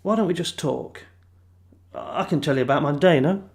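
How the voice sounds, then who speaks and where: An actor playing a gentle, chatty elderly grandfather in an audio play, fond, reflective and a little halting. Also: fairly high pitch, vocal fry. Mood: fond, coaxing. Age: older.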